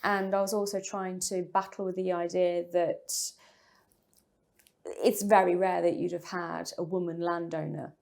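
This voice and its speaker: A woman's voice: fairly low pitch, level tone, moderate pace, gravelly, a little mellow. An English woman, a novelist, talking through her writing in an interview, thoughtful and full of small fillers and restarts. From England. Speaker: novelist guest. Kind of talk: interview conversation.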